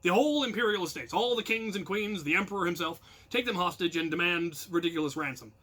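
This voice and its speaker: An American man telling a casual, rambling personal story in an animated, self-deprecating way. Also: high-pitched, fast talker. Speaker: storyteller and channel host.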